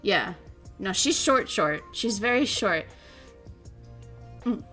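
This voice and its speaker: Young woman, streamer, casual chat, animated storyteller, laughs a lot, faint background music. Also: mid-range voice, slow pace. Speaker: VTuber streamer.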